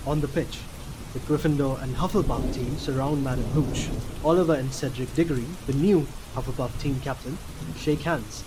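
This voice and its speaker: Male narrator for audio drama: mid-pitched, scripted storytelling, with clear background noise of rain and thunder. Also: moderate pace. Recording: noisy, rain and thunder effects behind.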